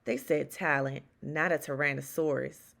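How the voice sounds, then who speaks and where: A woman's voice: rumbling low, flat delivery, normal speed, creaky, fairly bright tone, voice pushed down, exaggerated voice. A woman voicing a mean, mocking schoolgirl dancer with a scornful manner in a storybook read-aloud.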